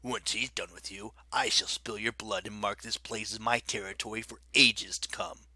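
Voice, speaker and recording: A man voicing a mocking ancient werewolf king, speaking low and quiet with silky menace. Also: steady tone, moderate pace, slightly rough, no echo, full character voice.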